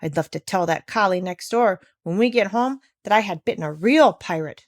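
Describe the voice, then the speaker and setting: A woman's voice: medium pitch, animated, moderate pace, no echo, clean studio sound, natural voice. A woman voicing a brave, scrappy male dog who is eager to fight in a storybook reading. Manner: eager, defiant.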